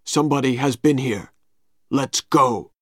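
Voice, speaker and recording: A man reading a storybook aloud, voicing a cold, menacing villain who speaks in a low, measured way. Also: fairly bright tone, no room sound, clean studio sound. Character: menacing male villain Injun Joe, vengeful.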